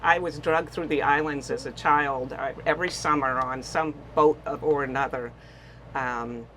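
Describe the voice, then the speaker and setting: A woman's voice: deep voice, fairly even intonation, moderate pace, a bit bright, slight background noise, poor audio. An older woman from the Bahamas, chatting casually in an interview aboard a small boat, telling stories with many fillers. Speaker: guest boater.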